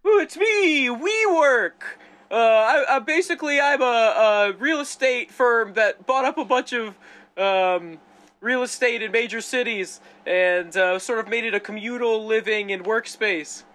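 A man's voice: very high voice, a bit bright. A man as a showy company spokesman. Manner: showy announcement, then halting explanation. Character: grandiose male real estate company spokesman. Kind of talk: improv comedy scene.

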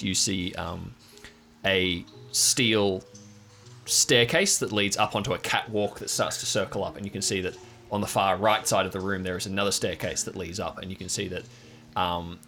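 A man's voice: sits low, bright, forceful tone. A man acting as game master, narrating an improvised tabletop game and describing scenes to players.

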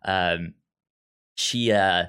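A man co-hosting a podcast, discussing a film casually in a halting way, often stammering and repeating words. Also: deep voice, pushed and bright, smooth. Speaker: podcast co-host.

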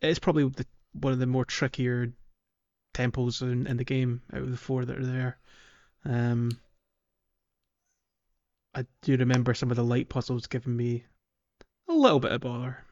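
Male podcast host, mid-pitched, halting conversational game walkthrough, somewhat lo-fi sound. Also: flat delivery, darkish tone. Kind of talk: casual video game walkthrough chat.

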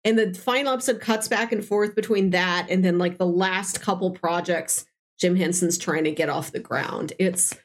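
A woman's voice: on the low side, steady tone, normal speed. A woman hosting a podcast, chatting casually and effusively recommending films she loves. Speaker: podcast host. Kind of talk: casual film discussion chat.

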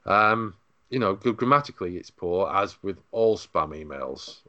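Low-voiced man hosting a tech podcast. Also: lively intonation, normal speed, bright, forceful tone, dry recording, highs cut off. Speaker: podcast host. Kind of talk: conversational tech podcast presenting.

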